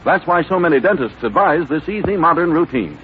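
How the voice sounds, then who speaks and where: Animated, polished American radio announcer. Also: male voice, a little high, a little fast. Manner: polished, earnest sales delivery. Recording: tinny, noisy 1939 radio broadcast.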